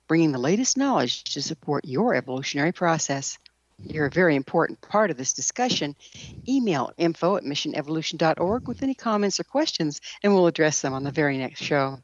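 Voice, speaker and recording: A woman radio host with a notably low voice and lively, swooping intonation. She delivers scripted introductions and interview questions. The sound is close and dry but slightly dull and lo-fi. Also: no room sound.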